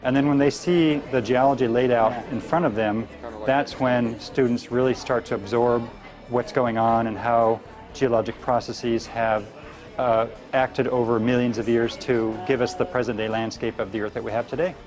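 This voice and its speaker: A man's voice: mid-range voice, steady tone, moderate pace. A man who teaches geology, explaining his subject in an interview. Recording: music behind the voice.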